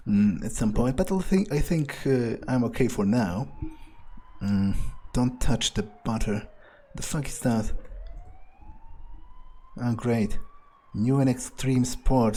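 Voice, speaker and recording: A man playing an arrogant, cynical, scheming vampire in an improvised game roleplay monologue, musing haltingly with cold disdain and profanity. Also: medium pitch, mellow, natural voice. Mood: dismissive, sardonic. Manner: halting, musing, with pauses, hums and dry chuckles. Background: music behind the voice.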